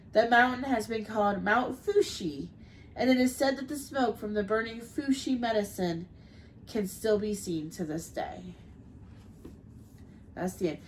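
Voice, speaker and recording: A woman's voice: mid-range voice, slight background noise, poor audio. A young woman with a cold, reading a folk tale aloud on a podcast with small slips and restarts, then chatting casually. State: has a cold. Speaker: podcast co-host.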